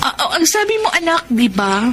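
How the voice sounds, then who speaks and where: Sweet high-pitched Filipina radio drama heroine. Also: female voice, sing-song, brisk, noisy background. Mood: playful, friendly. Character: sweet, guarded young woman with a troubled past.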